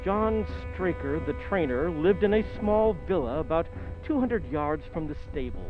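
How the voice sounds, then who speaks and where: A man acts as a genial gentleman storyteller in a vintage radio play. His high voice is earnest and formal, in a dull recording with faint music behind. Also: lively intonation, normal speed, natural voice. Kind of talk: old-time radio drama narration.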